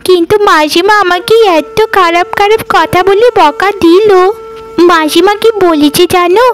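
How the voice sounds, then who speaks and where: A woman's voice: extremely high pitch, a little slow, fairly bright tone. A woman voicing an innocent, earnest little village girl in a cartoon, with a childlike manner. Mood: hurt. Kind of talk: Bengali cartoon dubbing.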